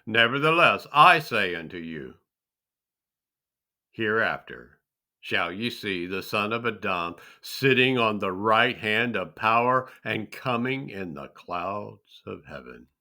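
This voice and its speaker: Man voicing a measured, authoritative holy teacher. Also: on the low side, animated, pushed and bright, full character voice. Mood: grave. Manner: grave, measured, rhetorical. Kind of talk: dramatic scripture reading.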